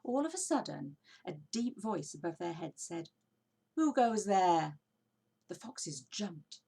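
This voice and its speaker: Female storybook reader: expressive narration, raspy tone with noticeable vocal fry. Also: lowish voice, unhurried. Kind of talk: expressive children's book narration.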